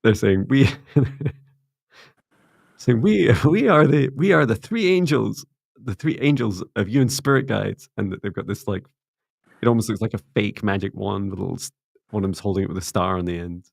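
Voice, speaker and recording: A Scottish man hosting a live psychic reading, chatty and upbeat, slowing down gently when passing on messages. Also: lowish voice, vocal fry, no echo, clean studio sound. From Scotland. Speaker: psychic reader and co-host.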